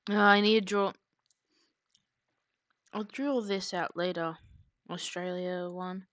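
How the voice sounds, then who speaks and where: A woman's voice: on the low side, steady tone, slow pace, a bit bright, no echo. A woman livestreamer chatting casually and rambling, half muttering to herself, close to a streaming mic. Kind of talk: casual livestream chat while drawing.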